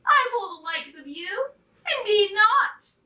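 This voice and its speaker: A woman's voice: very high voice, lively intonation, takes it slowly, bright, forceful tone, pitched above the performer's own voice, heavily acted. A woman voicing a snobbish male passenger train engine in a children's story, boastful and scornful. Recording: roomy, distant microphone.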